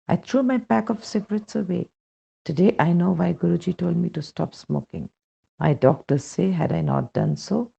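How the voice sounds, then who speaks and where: A man with an Indian accent reads a devotional first-person testimonial aloud in a measured, deliberate way. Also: fairly high pitch, vocal fry, dark tone, husky. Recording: dull, muffled lo-fi recording.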